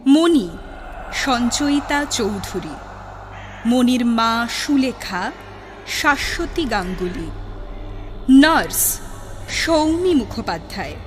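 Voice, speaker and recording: A woman reading the cast credits of a radio drama in a measured, formal way. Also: fairly high pitch, animated, soft background music. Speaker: credits announcer.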